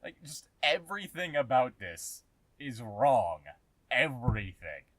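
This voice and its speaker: A man giving a casual, sarcastic commentary rant, exasperated and swearing heavily, with halting, emphatic repeats. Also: medium pitch, sing-song, a bit bright, no echo, poor audio. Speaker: host of a commentary channel.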